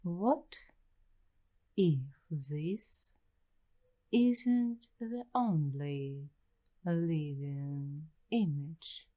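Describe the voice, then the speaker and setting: A woman playing an uneasy, paranoid young waitress in an ASMR roleplay, speaking in hushed, deliberate, halting phrases with suspenseful pauses. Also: low-pitched. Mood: eerie suspense. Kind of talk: slow ASMR roleplay storytelling.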